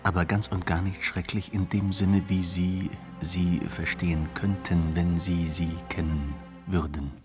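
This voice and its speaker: An actor playing a grave, sorrowful romantic in a radio drama, recounting in a measured, intense way. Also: male voice, low voice, level tone, soft background music. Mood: solemn. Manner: measured, intense, dropping to near whispers. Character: grave, sorrowful romantic man telling a tragic tale.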